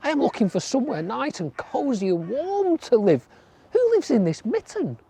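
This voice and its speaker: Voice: mid-range voice, sing-song, moderate pace, gravelly, dark tone, husky, pitched above the performer's own voice, full character voice. A storyteller voicing a cold, polite little frog in a children's folk tale, asking hesitantly for shelter. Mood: pleading.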